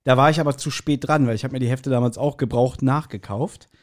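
A man's voice: medium pitch, steady tone, brisk. A man, chatting casually and telling nostalgic anecdotes in a blunt, joking way as a podcast host.

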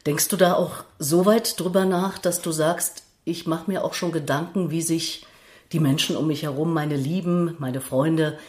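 A woman's voice: low-pitched, fairly even intonation, moderate pace, darkish tone, reverberant. A middle-aged woman hosting a personal, conversational interview in a reflective, circling way, adding asides of her own. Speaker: interviewer, host.

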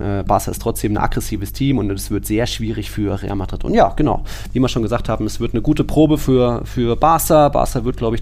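A man's voice: on the low side, creaky, darkish tone. A man hosting a football podcast in a casual, conversational way, with somewhat hesitant phrasing. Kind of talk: casual sports podcast talk.

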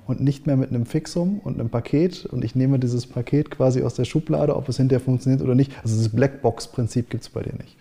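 Male interview host, brisk delivery, very warm and dark tone, summarizes and probes. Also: medium pitch. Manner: sums up and asks follow-up questions. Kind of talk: conversational interview.

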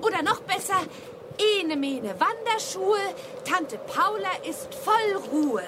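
A woman's voice: very high voice, expressive, takes it slowly, very noisy, full character voice. An actress playing a helpful, cheeky young girl witch who chants spells in a children's radio play. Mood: eager, incanting.

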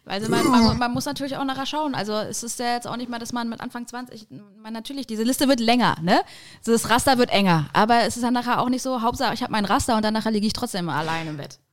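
A young woman co-hosting a podcast, talking casually and searching for words with frequent pauses. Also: a little high. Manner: hesitant, many fillers and restarts. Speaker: podcast co-host. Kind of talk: casual chat.

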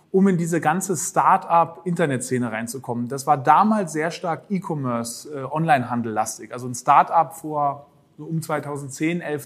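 A man from northern Germany talks as a guest on a podcast. His voice is somewhat high and husky. He answers in a relaxed, rambling way, with plenty of fillers and restarts. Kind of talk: conversational interview answers.

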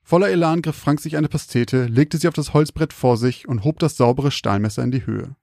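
A man with a slightly creaky voice, hosting and telling stories on a podcast. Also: medium pitch, fairly quick, dark tone, no echo, clean studio sound. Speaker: podcast host and storyteller. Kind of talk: casual banter and scripted horror storytelling.

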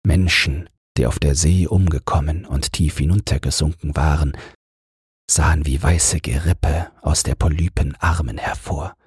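A man reading a fairy tale as a calm bedtime audiobook narrator. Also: rumbling low, unhurried, slightly rough, no room sound, studio quality.

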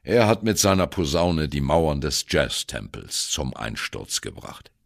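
Radio play monologue: older jazz musician, wry and self-doubting, gravel-throated bass, dry sardonic storyteller. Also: male voice, very animated, unhurried, very noisy, heavily acted. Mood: wry, admiring. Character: wry, self-doubting ageing free jazz drummer reminiscing. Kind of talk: radio play monologue, first-person narration.

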